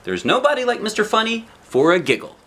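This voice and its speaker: Cheery male storybook friend, acted by a man reading aloud: eager, caring, very animated pitch. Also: a little fast, slight background noise, full character voice. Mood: encouraging.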